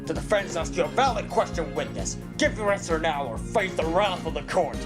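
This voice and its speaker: A man's voice: on the high side, animated, a little fast, over loud music, lightly acted. A man voicing a stern, condescending animal prosecutor with a formal, haughty manner in an audio drama. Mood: challenging.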